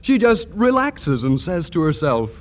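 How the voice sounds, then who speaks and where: Animated American male radio announcer. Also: on the high side, measured pace. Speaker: commercial announcer. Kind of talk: 1940s radio advertising pitch.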